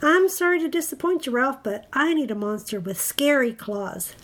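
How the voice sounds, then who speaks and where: A woman's voice: high-pitched, animated, moderate pace, a little hiss or hum. A woman voicing a picky, plucky child at bedtime in a children's storybook, frank and insistent. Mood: frank, nervous.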